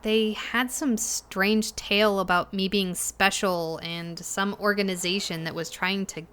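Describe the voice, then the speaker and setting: Young female cafe worker, played by an actress, audio drama. Slow, halting, unsettled, naturalistic delivery with pauses. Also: mid-range voice, fairly even intonation, fairly bright tone, clean tone, natural voice. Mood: uneasy. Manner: halting, hesitant, with sighs and pauses. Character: Emily, shaken, hesitant young cafe worker recounting trouble.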